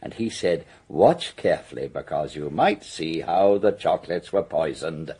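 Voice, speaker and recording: A man's voice: extremely low pitch, big pitch swings, moderate pace, warm tone, slightly rough, exaggerated voice. A man playing a precise, pedantic professor who corrects others politely in a radio detective drama. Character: precise, fussy professor, pedantically observant male witness.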